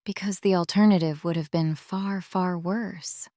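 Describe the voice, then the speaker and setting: Woman acting a haunted protective friend, dark low voice. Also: steady tone. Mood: tender, resolute. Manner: halting, confessional, earnest. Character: protective older friend, haunted female former street criminal. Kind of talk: dramatic audio drama acting.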